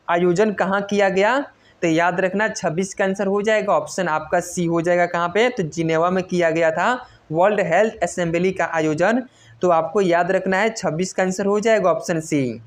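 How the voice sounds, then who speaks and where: A woman teaches in a quiz-style exam lesson, reading out questions and stressing each answer repeatedly in an instructional way. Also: on the low side. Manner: instructional, repetitive emphasis on key answers. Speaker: exam coach.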